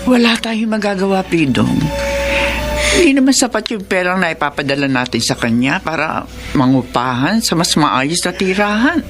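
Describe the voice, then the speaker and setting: Casting: woman as practical, worried Filipino mother, middle-aged, radio drama; coaxing and fussing, lively intonation. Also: lowish voice, normal speed, music in the background, natural voice. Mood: resigned. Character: concerned, practical middle-aged wife and mother, gently nagging.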